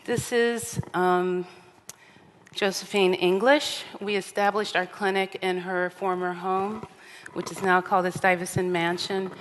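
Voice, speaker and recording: A woman with a deep, low voice gives a measured lecture from a theater stage, stopping often on ums. Her voice rings with long hall reverb over a faint hiss. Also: level tone. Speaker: artist giving a conference talk. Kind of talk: lecture with slides. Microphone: reading at a lectern.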